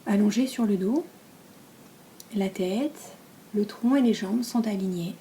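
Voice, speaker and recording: Female yoga nidra guide, French accent, dark relaxed tone, calm and soft with long pauses, slight background noise. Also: medium pitch. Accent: French from France. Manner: soft, breathy, calm and soothing delivery; unhurried, with long pauses between phrases.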